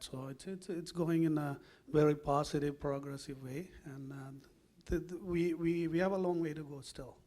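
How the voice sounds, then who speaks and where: Halting man. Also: on the high side, a little mellow. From Sri Lanka. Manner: halting, searching for words. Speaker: panelist, filmmaker. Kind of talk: panel discussion.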